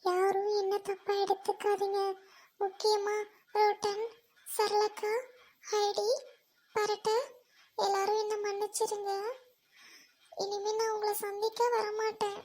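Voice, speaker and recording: A woman's voice: very high-pitched, completely flat delivery, slow pace, long pauses, a little mellow. A woman voicing a timid, apologetic young girl in a village drama, speaking in a meek, pleading manner. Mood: sorrowful pleading. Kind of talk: village comedy-drama acting.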